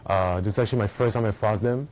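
Male conference presenter from Belgium, deep and warm, casual explainer with plenty of fillers. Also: quick pace, slight background noise. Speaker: conference speaker. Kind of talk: technical conference talk. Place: conference room with an audience. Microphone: small recording mic.

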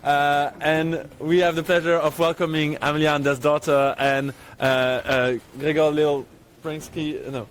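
A man's voice: fairly high pitch, little pitch movement, unhurried, slight background noise. Hesitant male moderator introducing speakers. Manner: hesitant, apologetic, with stumbles. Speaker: session moderator.

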